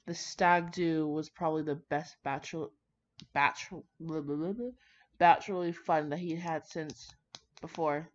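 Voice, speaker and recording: Casual female reader, fairly low voice, brash and digressive, slightly dull home recording. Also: steady tone, normal speed. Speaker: fan fiction reader. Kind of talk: casual read-aloud with running commentary.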